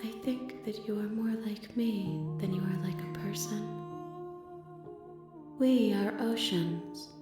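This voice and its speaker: An actress playing an ancient otherworldly female entity in a game, solemn, serene and cryptic, with measured poetic delivery. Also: mid-range voice, close to an everyday voice. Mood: solemn wonder. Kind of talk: dramatic game voice acting. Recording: echoey reverb under music.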